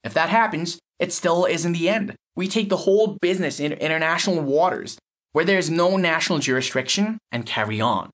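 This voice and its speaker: A man acts out a cynical male lab technician for an audio short story. His voice sits a little high. He explains things bluntly and matter-of-factly, with a sardonic edge. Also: lively intonation, fairly quick, a bit bright.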